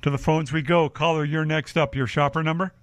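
A man's voice: on the high side, steady tone, fairly quick. Chatty male radio shopping host. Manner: chatty, many uh fillers. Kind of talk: live radio shopping show patter. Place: radio studio.

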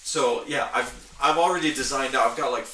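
Guy on a podcast nerding out, bright voice, roomy and kinda far from the mic. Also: medium pitch, a little fast. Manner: enthusiastic, nerdy explaining. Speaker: podcast host. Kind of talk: casual hobby chat. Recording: roomy, echoey, distant from mic.

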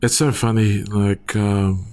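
A man's voice: on the low side, flat delivery, slow pace, a little mellow. A man in his forties telling his story in a rambling, casual way, often asking "you know what I mean?". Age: 40s. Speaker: guest sharing his church story. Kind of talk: casual conversational testimony.

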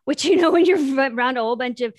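Woman podcast co-host, high light voice, rambling, self-mocking, dry close mic. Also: rapid-fire, no room sound. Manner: chatty, self-deprecating, rambling with fillers. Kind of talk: casual chat and guest introduction. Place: home studio in North Texas. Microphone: new large close microphone.

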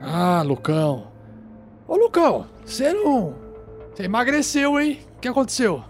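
Tabletop game role: bossy germaphobe recluse, high-pitched, fussy, wildly animated, suspicious. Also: male voice, heavily acted. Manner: scolding, dramatic exclamations, drawn-out orders. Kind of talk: improvised tabletop roleplay acting. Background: background music.

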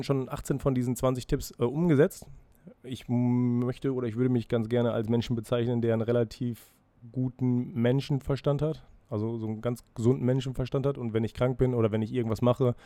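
Verschnupfter Mann, nachdenklicher Podcast-Monolog. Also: male voice, medium pitch, dark tone, no echo. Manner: freely spoken, musing, self-reflective with hesitations. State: has a cold, breathing heavily. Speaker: podcast host. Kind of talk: casual solo podcast monologue.

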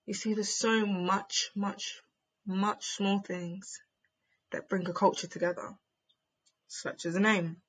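A young woman with a husky, slightly breathy voice. She speaks in a measured, rhythmic way, as if reading spoken word aloud. Her pitch sits in a middle register. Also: fairly even intonation, rough recording. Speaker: spoken word poet and podcast co-host. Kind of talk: spoken word reading, then casual discussion.

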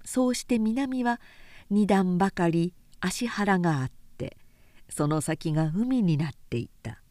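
A woman reading literary fiction aloud as a narrator, in a measured, composed and articulate way. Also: on the low side, expressive, dark tone, no room sound. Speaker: narrator, reader.

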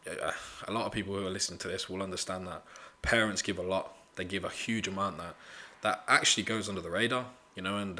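A man's voice: deep voice, sharp tone. A man, hosting a casual solo podcast, blunt and motivational, swearing freely and leaning on "you know". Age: 20s. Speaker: podcast host.

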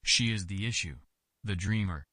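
A low-voiced, grave man played by an actor in a story recording. He speaks with measured care and deliberation, as if weighing each word. The sound is dry and close. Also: bright, forceful tone, breathy edge, no echo, exaggerated voice. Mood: careful, deliberate. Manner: measured, careful delivery. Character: Jarvik, grave, hesitant, deliberate male character. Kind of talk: audio fiction character dialogue.

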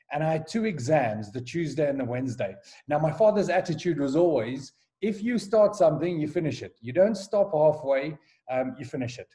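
A man's voice: fairly high pitch, warm tone. A South African man in his forties, a school headmaster, talking through his past in a casual podcast interview, often restarting his sentences. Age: 40s. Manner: hesitant, many restarts and repeated words. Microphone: call recording.

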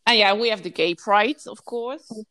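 A woman's voice: mid-range voice, normal speed, bright, forceful tone. A woman from the Netherlands with a Dutch accent, chatting casually in English, her second language, in an emphatic storytelling manner. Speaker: podcast host and childcare worker. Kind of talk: casual chat about a TV show.

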